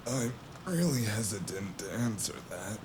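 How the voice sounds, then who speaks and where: An actor playing a drained, wounded investigator in an audio drama, dazed and in pain but dryly wry and halting. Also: male voice, lowish voice, very animated, crowd noise. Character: drained, wounded, sardonic male investigator, stubborn and groggy.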